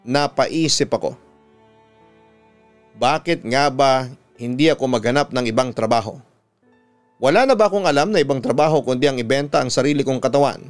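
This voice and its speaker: A man with a Filipino accent, a radio announcer reading a scripted first-person story in a measured, dramatic storytelling delivery. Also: mid-range voice. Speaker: radio announcer and host reading listener stories. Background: instrumental music.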